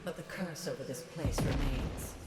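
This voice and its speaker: Woman doing a regal sad ghost queen for a game, deep for a woman, lots of echo. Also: normal speed, close to an everyday voice. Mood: solemn sorrow. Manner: solemn, regal, formal. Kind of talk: dramatic video game voice acting. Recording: roomy echo over music.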